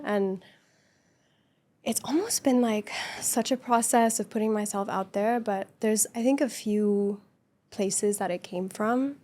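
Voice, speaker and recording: A woman guest on a podcast, speaking in a soft, gentle voice in an earnest, reflective, casual conversation. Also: mid-range voice. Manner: earnest, reflective chat with frequent laughs.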